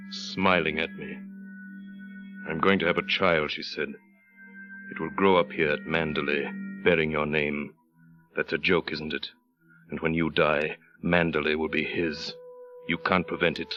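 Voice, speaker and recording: An actor playing a tormented, brooding aristocratic husband in an old radio drama, speaking with intense, deliberate dramatic phrasing. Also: male voice, a bass voice, level tone. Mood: bitter, anguished. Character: tormented, brooding aristocratic husband confessing to his young wife. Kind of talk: 1930s radio acting. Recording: muffled old radio broadcast, music underneath.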